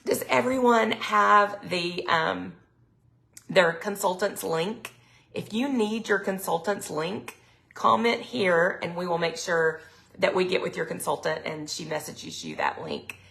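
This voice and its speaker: A middle-aged woman, chatting casually on a live sales stream, her throat a little raspy from allergies. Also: lowish voice, expressive, measured pace, a bit bright. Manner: chatty, lots of ums, reads viewer comments aloud. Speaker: product consultant hosting a live sales stream.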